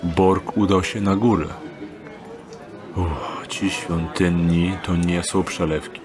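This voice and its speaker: A man playing a wary, wry thief in a fantasy audio drama, muttering deliberately. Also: low voice, steady tone, crowd noise. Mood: cautious.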